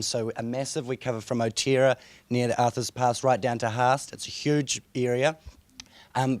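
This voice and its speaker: A young man with a croaky voice, speaking hesitantly as a councillor on a conference panel. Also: mid-range voice, dry recording. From New Zealand. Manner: hesitant, many fillers and restarts. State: hoarse, croaky voice. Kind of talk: panel discussion. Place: conference room.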